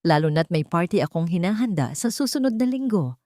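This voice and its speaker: A woman with a Filipino accent voicing a snobbish, two-faced daughter-in-law in a story, with shrill, emphatic disdain. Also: animated, fairly quick, warm tone, no room sound, clean studio sound, close to an everyday voice. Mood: cold, stern. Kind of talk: storybook character voice.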